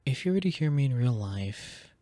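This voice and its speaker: A soft-spoken man with a low, deep voice, chatting casually as an ASMR creator, drifting between quiet talk and whispers into a sensitive close microphone. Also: moderate pace, no room sound. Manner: relaxed, rambling, slips into whispers.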